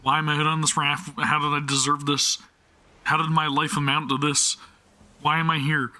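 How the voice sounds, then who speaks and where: A young man talking casually over his gameplay on a live stream, with a very bright, sharp-edged voice pitched a bit high. He rambles, jokes at his own expense and stumbles over restarts. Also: level tone, normal speed. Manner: chatty, self-deprecating, stammering restarts. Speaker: game streamer.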